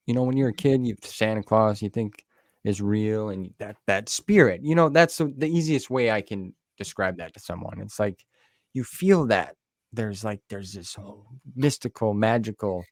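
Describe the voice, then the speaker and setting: A man with a low voice and an American accent chats as an interview host. His manner is effusive and folksy, full of enthusiasm. The recording is dry, with hardly any room sound. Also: darkish tone, no echo. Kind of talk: casual interview chat.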